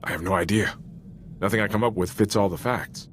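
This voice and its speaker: A man's voice: on the low side, brisk, fairly bright tone, slight background noise, lightly acted. A man playing an earnest, loyal Republic soldier in a video game, speaking frankly in an informal, sincere military manner. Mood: confiding, troubled. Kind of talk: cinematic video game voice acting.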